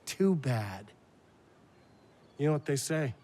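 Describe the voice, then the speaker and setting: Smug evil twin, wildly swooping delivery. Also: male voice, mid-range voice, big pitch swings, slow pace, warm tone. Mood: smug, taunting. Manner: cocky, taunting, theatrical. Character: smug, sneering semi-evil twin brother villain, petty and jealous. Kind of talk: campy video game acting.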